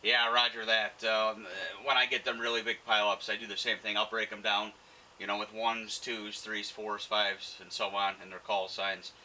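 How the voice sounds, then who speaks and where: Very bright, low-pitched male radio operator. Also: flat delivery, moderate pace, slight background noise, poor audio. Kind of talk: casual ham radio contact.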